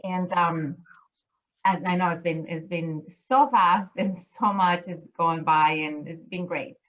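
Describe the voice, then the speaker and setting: A woman's voice: fairly low pitch, slow pace, a bit bright, lo-fi sound. A middle-aged woman from Chile, a cruise line business development manager, talking enthusiastically with many restarts in a casual interview. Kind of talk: casual interview chat over video call. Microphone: video call.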